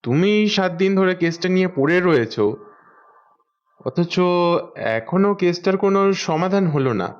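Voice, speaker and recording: Higher-voiced inquisitive male detective, acted by a man. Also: lively intonation, moderate pace, long pauses, dull audio. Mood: probing. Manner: conversational, questioning, conciliatory. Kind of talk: audio story acting.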